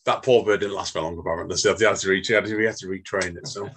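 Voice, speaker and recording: A man, hosting a casual chat and reading his poems in a slow, measured way. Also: sits low, steady tone, fairly bright tone, husky. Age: 40s. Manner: chatty, says mate, reads slow and measured. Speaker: podcast host.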